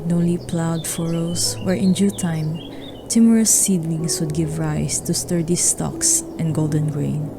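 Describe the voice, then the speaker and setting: Low, creaky woman narrating a story. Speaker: narrator of a radio drama.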